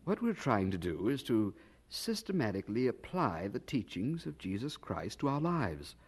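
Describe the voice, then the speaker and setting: A man's voice: mid-range voice, big pitch swings, normal speed, breathy edge. An actor playing a kindly, eager young churchman in a radio drama, earnest and probing, with a slight stammer. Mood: encouraging, earnest.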